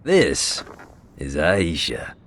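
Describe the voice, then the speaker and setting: An actor playing a cocky, hot-tempered young gang lieutenant in a video game, brash and sarcastic, with a lot of swearing. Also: male voice, extremely low pitch, huge pitch swings, very slow, fairly bright tone, heavily acted. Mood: brisk. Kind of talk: video game cutscene acting.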